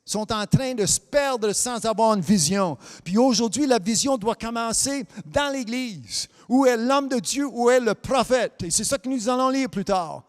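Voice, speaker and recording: Male preacher, high voice, English as his first language, amen-heavy sermon with stops and restarts, hall reverb trailing behind. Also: lively intonation. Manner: peppers lines with amen and hallelujah, halting restarts, sometimes sing-song. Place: church hall.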